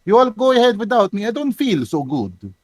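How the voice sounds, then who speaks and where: A man voicing an ailing Russian woman in a tabletop game, with a botched comic Russian accent and halting delivery. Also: high-pitched, big pitch swings, darkish tone, voice pushed up. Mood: sickly. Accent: exaggerated, botched Russian.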